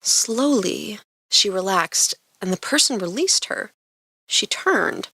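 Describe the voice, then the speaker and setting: A woman's voice: mid-range voice, lively intonation, takes it slowly, pushed and bright, slightly rough. A woman reading scripted fiction aloud as the narrator of a story.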